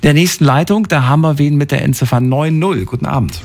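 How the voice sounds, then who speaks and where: A man's voice: fairly high pitch, fast, gravelly, darkish tone. A man hosting a radio call-in show, chatting casually to listeners.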